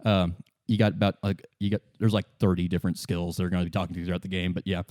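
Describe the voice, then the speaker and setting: A man acting as game master, narrating a tabletop game casually in a halting, conversational way. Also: low voice, flat delivery, a little mellow, no echo, clean studio sound.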